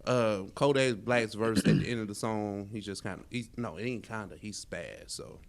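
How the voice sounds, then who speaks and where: Slangy man co-host, slightly low voice. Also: expressive, normal speed. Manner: slangy, informal, conversational. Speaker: podcast co-host. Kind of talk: casual chat about music, films and sports.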